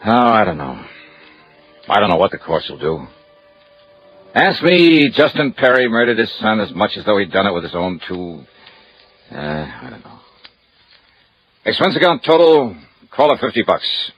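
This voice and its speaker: An actor playing a tough, world-weary insurance investigator in clipped, wry, old-time radio detective style. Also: male voice, fairly low pitch, big pitch swings, normal speed, long pauses, slightly rough, soft background music. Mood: bitter, weary. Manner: clipped, wry, hard-boiled. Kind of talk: 1950s radio detective acting and first-person narration. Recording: muffled old radio recording.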